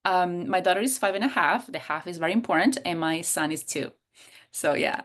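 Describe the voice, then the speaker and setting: A woman's voice: on the low side, a little fast, fairly bright tone. A woman in her thirties from Italy with an Italian accent, talking expressively as an interview guest and sometimes searching for words. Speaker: guest, coach and hypnotherapist. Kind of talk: casual interview conversation.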